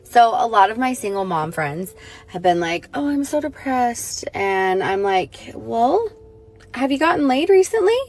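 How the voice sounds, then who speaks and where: A woman with a fairly high voice, telling a joking story slowly and playing out each side of the conversation. Her delivery is lively and teasing.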